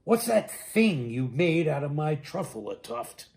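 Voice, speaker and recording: A man voicing a small, old, mossy forest creature, bossy, sharp and indignant, in a storybook reading. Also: fairly high pitch, big pitch swings, unhurried, creaky, exaggerated voice. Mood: indignant, pleading. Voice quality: sharp-edged. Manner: bossy, emphatic, protesting.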